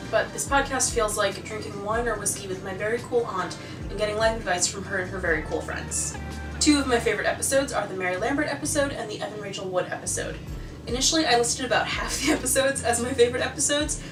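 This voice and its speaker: A woman's voice: a little high, fast talker. An American woman hosting a casual, scripted recommendation vlog in a conversational, enthusiastic way. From United States. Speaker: video host. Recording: roomy, distant mic, music behind.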